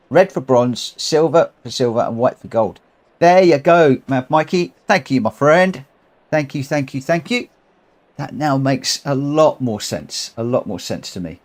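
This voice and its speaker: A man streams a racing game with his own live commentary. He has a cold and a runny nose. He rambles and thinks aloud, often stopping to correct himself, and his voice sits at an ordinary mid pitch. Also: a little mellow. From United Kingdom.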